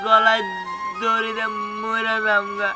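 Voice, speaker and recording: A stubborn, whiny boy, played by a man, pleading again and again. His voice is fairly high. Music runs behind him. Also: completely flat delivery, a little slow. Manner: whiny, pleading, repeats himself. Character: sulky stubborn boy demanding new clothes. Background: background music.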